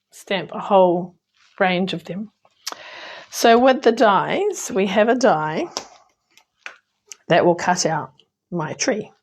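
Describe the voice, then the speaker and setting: Hoarse New Zealand woman demonstrating crafts. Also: medium pitch, a little mellow. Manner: chatty, with frequent ums and small slips. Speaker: card-making demonstrator. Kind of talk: casual crafting tutorial.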